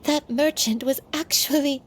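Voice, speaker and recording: A wistful, remorseful storyteller, higher-voiced. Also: female voice, fairly even intonation, a little hiss or hum. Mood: stunned. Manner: wistful, halting, solemn. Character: regretful old woman, once a crane disciple, confessing. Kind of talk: dramatic storytelling game dialogue.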